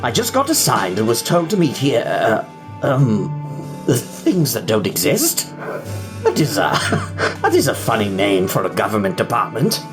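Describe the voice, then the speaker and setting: A man's voice: on the low side, huge pitch swings, a little slow, music in the background. A man playing a nervous, naive new government recruit in an audio drama, hesitant, stammering and bewildered.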